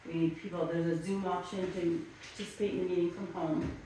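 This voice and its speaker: Soft-spoken woman in an echoey hall. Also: lowish voice, steady tone, fast talker. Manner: soft-spoken, hesitant with many ums. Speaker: planning commission member. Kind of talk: town meeting discussion. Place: echoey town office meeting room. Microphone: distant room mic. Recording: echoey room from far off, background noise.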